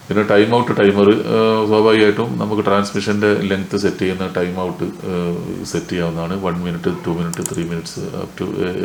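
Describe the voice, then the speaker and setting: A man with a Malayali accent gives an unscripted technical tutorial in an instructional way, mixing in English technical terms. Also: low-pitched. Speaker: tech tutorial presenter. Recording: echoey room with faint noise.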